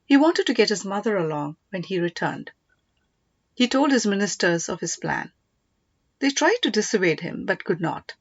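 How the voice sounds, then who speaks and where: Female audiobook narrator, mid-pitched, scripted storytelling, close dry sound, slightly muffled. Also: very animated, moderate pace, no echo.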